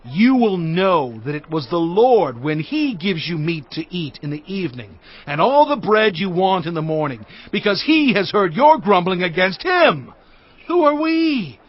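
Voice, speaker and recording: Casting note: Moses, stern leader, high lively voice, earnest emphasis, dull track, faint music. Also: male voice, unhurried, full character voice. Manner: earnest, emphatic preaching delivery.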